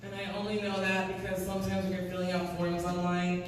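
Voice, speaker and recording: A woman in her thirties with a raspy voice, a singer-songwriter chatting on stage between songs in a live music venue, rambling and self-deprecating. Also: lowish voice, completely flat delivery, brisk, a little hiss or hum. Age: 30s. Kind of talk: casual stage banter. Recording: echoey room, mic from a distance.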